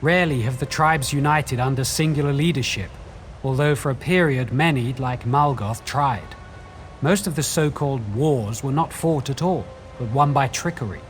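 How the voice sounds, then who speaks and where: An actor playing a scholarly, wary quest guide in a fantasy video game, speaking in a measured, formal, articulate way. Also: male voice, mid-range voice, natural voice. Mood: measured, explanatory. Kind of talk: video game dialogue, explanatory. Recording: faint music behind.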